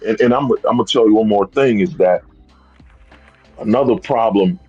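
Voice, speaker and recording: A man's voice: lowish voice, moderate pace, mellow, husky, highs cut off, soft background music. A man in his thirties hosting a livestream, talking in a rambling, casual rant and dropping "bro" and "you know what I'm saying" often. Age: 30s. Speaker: streamer host.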